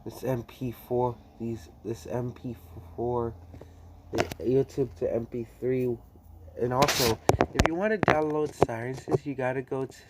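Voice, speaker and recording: A man giving a casual tutorial in a hesitant way, often restarting and repeating his phrases. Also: mid-range voice. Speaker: tutorial host. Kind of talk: casual screen-recording tutorial. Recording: loud background noise, clicking.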